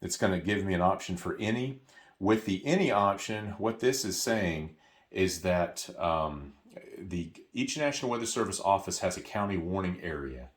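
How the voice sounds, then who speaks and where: Man, low-pitched voice, tutorial presenter, unscripted instructions, hesitant explanatory delivery. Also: animated, normal speed. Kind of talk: unscripted step-by-step instructional walkthrough.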